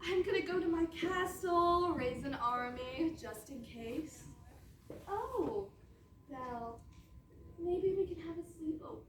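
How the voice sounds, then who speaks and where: Flippant young princess played by a woman, cool schemer, high and animated, comic stage, slightly distant. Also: slow, exaggerated voice. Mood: scheming. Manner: flippant, theatrical. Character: cool, scheming, self-respecting young fairy-tale princess. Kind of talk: comic stage readers theatre. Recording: roomy, distant stage recording, faint noise.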